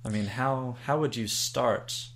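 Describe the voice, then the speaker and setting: A man's voice: mid-range voice, measured pace, a bit bright. A man hosting an interview, easygoing and affirming with short replies before asking questions. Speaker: host, interviewer. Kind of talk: conversational interview.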